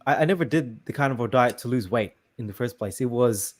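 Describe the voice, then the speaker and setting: A man's voice: mid-range voice, brisk. Hesitant, agreeable male host. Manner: hesitant, many restarts and fillers, agreeable. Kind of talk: casual interview chat.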